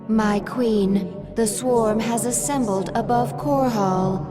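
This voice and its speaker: A dutiful alien attendant in a video game. Her voice sits rather high, reporting to her queen in formal, deferential phrases over clear background music. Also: slow pace. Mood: formal, alert. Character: dutiful female alien adviser to swarm queen.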